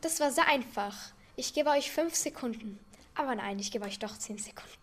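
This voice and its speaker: A woman's voice: on the high side, animated, normal speed, fairly bright tone. Girl hosting a school radio quiz. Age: young teen. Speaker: student quiz presenter.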